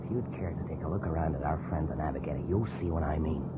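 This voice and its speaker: An actor playing a sly, needling, crooked co-pilot who makes smooth threats in an old radio drama. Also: male voice, extremely low pitch, quick pace. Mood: cold menace. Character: sly, menacing crooked co-pilot, cool under argument. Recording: muffled old radio, loud noise.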